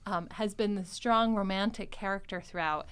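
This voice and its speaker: A woman's voice: medium pitch, moderate pace, a bit bright, clear, resonant tone. A woman hosting a podcast, chatting casually and retelling scenes, animatedly acting out the dialogue as she goes. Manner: animatedly acts out quoted dialogue. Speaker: podcast host recapping an audio drama.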